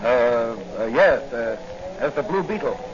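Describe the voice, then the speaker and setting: Man plays assured crime-fighting patrolman, brisk and inquisitive, medium pitch, old dull-sounding radio serial. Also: lively intonation, lightly acted. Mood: easygoing, curious. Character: confident male patrolman and secret masked crime-fighter. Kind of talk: 1940s radio acting. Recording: dull, noisy 1940 radio recording.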